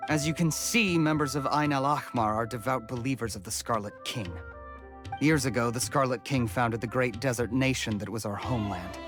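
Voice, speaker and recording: Man as zealous desert mercenary, mid-pitched, scornful, menacing, declamatory, video game character, music behind. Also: normal speed, natural voice. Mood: bitter. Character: haughty, zealous male desert mercenary, vengeful believer. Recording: background music under the voice.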